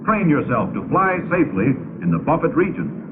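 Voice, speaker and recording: An American man narrating a 1950s training film in a measured, authoritative, instructive manner, on an old film soundtrack. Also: a little high, big pitch swings, phone-quality sound, background noise. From United States.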